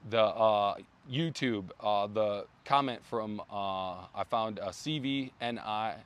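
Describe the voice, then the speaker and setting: A man hosting a casual brand livestream outdoors, rambling with many fillers and restarts, his voice going hoarse. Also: fairly low pitch, slow. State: losing his voice. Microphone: phone.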